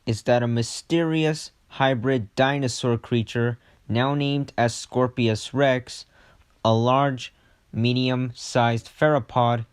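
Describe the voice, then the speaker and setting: A man's voice: medium pitch, smooth, no room sound, clean studio sound, lightly acted. A man playing a chatty breaking-news anchor in an amateur mock news report, speaking informally.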